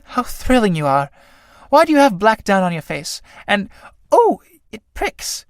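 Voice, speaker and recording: A performer reading a play aloud, voicing a vain, flighty female butterfly in a high, affected, gushing voice. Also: big pitch swings, unhurried, no echo, studio quality. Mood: flirtatious. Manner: gushing, affected, breathy swoops.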